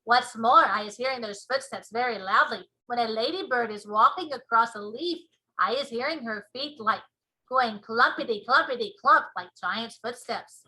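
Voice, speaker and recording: Casting: woman to play an emphatic, proud storybook giant, easily offended, forceful tone, quaint broken grammar and nonsense words. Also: fairly high pitch, moderate pace, low-quality recording. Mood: boastful wonder. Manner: folksy muddled grammar with whimsical invented words.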